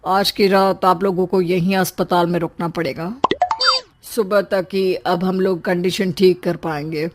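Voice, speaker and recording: A woman plays a doctor in a cartoon story. The delivery is calm and matter-of-fact, slow and authoritative. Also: lowish voice, a little hiss or hum, close to an everyday voice.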